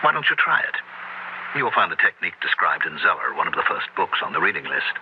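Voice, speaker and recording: A courteous occult professor with a deep voice and a measured, scholarly manner that hides something sinister. It is seventies radio horror drama, dulled in tone and clearly noisy. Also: male voice, big pitch swings. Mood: courteous, professorial. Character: smooth, courteous, secretly sinister male university professor of witchcraft. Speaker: actor in a radio play. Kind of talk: 1970s radio drama acting. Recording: noisy, muffled 1970s radio broadcast.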